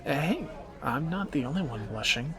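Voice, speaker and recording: A man playing a teasing, protective captor turned lover in a romantic fantasy audio roleplay, bantering and soft-spoken. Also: a little high, animated, normal speed, a crowd in the background. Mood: fond banter.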